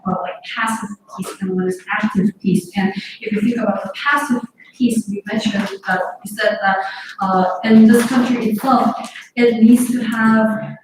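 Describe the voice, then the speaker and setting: A woman's voice: a little high, steady tone, normal speed. A young woman moderating a panel discussion, summarizing points with frequent fillers and switching between two languages. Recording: echoey and distant with noticeable background noise.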